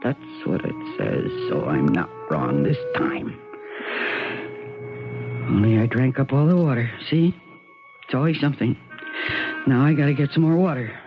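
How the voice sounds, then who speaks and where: An actress playing a despairing, self-mocking American wife and mother in a rambling radio drama monologue, with a low voice. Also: lively intonation, measured pace, pauses often, over music. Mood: wry, muddled. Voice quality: low-pitched voice. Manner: rambling, wry, self-interrupting. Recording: tinny, band-limited 1970s radio recording.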